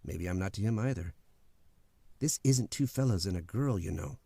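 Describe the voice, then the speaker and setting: A man's voice: lowish voice, animated, moderate pace, creaky, mellow, slightly rough, dry recording, full character voice. A man playing a blunt, easygoing, footloose suitor with a casual, candid manner in a radio drama. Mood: frank, earnest. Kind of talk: old-time radio drama acting.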